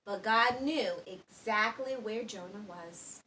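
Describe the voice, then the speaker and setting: A woman's voice: mid-range voice, slow pace, pushed and bright, reverberant. A woman reading a children's story aloud expressively, with sound effects and questions to her listeners. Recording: distant microphone with faint background noise.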